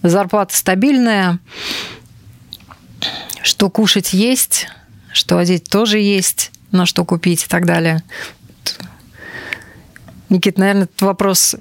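A woman's voice: mid-range voice, steady tone, slow, long pauses, dry recording. A woman radio host in a conversational studio interview, phrasing thoughts reflectively and often checking in with questions.

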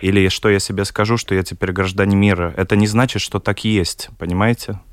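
A middle-aged man from Latvia, a film director speaking as a guest in a panel discussion, pausing often with fillers as he searches for words. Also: on the low side, level tone, no room sound.

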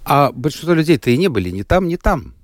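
A man's voice: on the high side, very animated, fast talker, dry recording. A man hosting a studio talk show, asking guests probing questions. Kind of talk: interview questions.

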